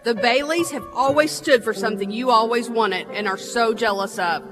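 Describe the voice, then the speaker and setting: An actress playing a principled, outspoken state bank examiner in a stage radio play, forthright and indignant. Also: female voice, fairly high pitch, moderate pace, close to an everyday voice. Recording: reverberant theatre hall, music in recording.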